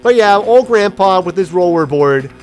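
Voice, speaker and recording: A gaming video host giving casual gameplay commentary, telling personal stories in a rambling, halting way with quoted asides. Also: medium pitch, expressive. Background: game music.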